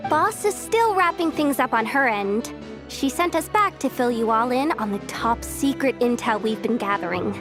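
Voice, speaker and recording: Woman acting young girl, high voice, earnest, observant, expressive, chatty, video game dialogue, faint background music. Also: normal speed, lightly acted. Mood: eager, explaining.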